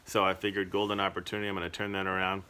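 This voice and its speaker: A man's voice: low-pitched, monotone, rapid-fire, bright, forceful tone, smooth. A man from Massachusetts interviewing his relative casually, asking rambling questions full of "and so" and "you know". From Massachusetts, United States. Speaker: interviewer, journalist.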